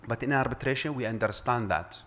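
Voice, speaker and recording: A man's voice: mid-range voice, fairly quick, fairly bright tone. A middle-aged man with an Arabic accent speaking as an arbitrator at a conference panel, earnest and emphatic, often restarting and repeating words. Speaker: arbitrator and lawyer.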